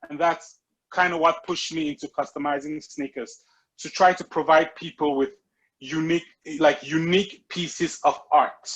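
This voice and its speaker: A middle-aged man speaks in an earnest, animated way in a conversational interview. His voice sits a little high for a man. He often restarts a phrase and then leans on key words slowly. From Cameroon. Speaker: guest, sneaker customizer and reseller.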